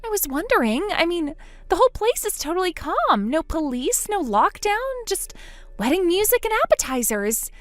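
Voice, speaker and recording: A woman's voice: extremely high pitch, sing-song, moderate pace, dry recording, voice pushed up. A woman voicing a frightened young woman trapped before a forced wedding, pleading and panicky. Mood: scared.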